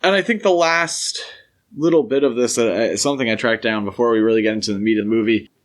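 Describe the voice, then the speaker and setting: A man speaking as a podcast co-host, discussing film in a conversational, measured, explanatory way. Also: mid-range voice.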